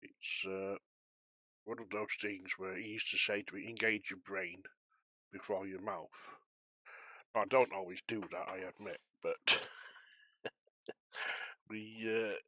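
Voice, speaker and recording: A man with a lowish voice and a bright, forward edge talks casually to his viewers. He rambles in a chatty, self-deprecating way while working as a flight-sim air traffic controller. The sound is close and dry, with very little room. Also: little pitch movement, slow, long pauses, no echo. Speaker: streamer, volunteer air traffic controller on a flight simulation network.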